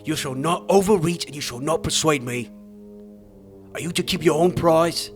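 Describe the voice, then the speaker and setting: A man's voice: fairly high pitch, normal speed, voice pushed up, close to an everyday voice. A man playing an enraged, arrogant king in a dramatized epic audiobook, declaiming with threats and haughty command, over music. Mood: threatening, imperious.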